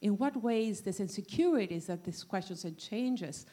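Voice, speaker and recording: A woman from Greece putting a spontaneous question to a panel, speaking haltingly as she works out her point. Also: medium pitch, lively intonation, warm tone. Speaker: audience questioner at a panel discussion.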